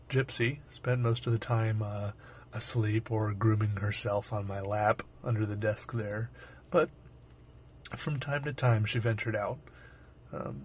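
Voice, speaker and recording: A young man with a sore, hoarse throat, narrating his own art video in a rambling, wry, self-deprecating way. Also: medium pitch, a little hiss or hum. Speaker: artist and channel host. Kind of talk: casual voice-over narration.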